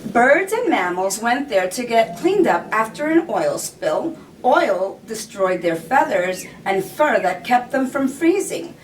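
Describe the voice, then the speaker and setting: A woman reading a children's story aloud in a deliberate storytelling manner, pausing to point out pictures. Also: fairly high pitch. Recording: distant mic, faint background noise.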